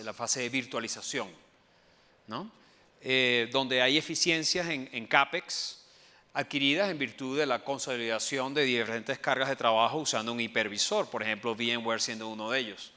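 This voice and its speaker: A man from Venezuela with a Venezuelan accent, giving a conference presentation as an enterprise architect, pausing often with fillers and restarts. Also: mid-range voice, bright, forceful tone. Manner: hesitant, many fillers and restarts. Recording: long hall reverb.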